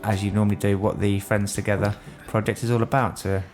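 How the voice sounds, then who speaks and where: A man's voice: fairly low pitch, flat delivery, fast talker, over music. A man with a London accent hosting a podcast, chatting and joking casually with his guests. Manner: jokey, chatty, rambling. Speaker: podcast host. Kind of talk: casual chat.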